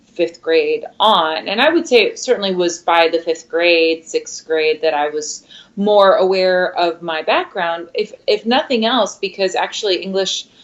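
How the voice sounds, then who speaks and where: A woman who grew up speaking Spanish first, answering interview questions in a thoughtful, measured way with frequent fillers. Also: fairly low pitch. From Michigan, USA. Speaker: guest, opera singer. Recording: dull lo-fi sound.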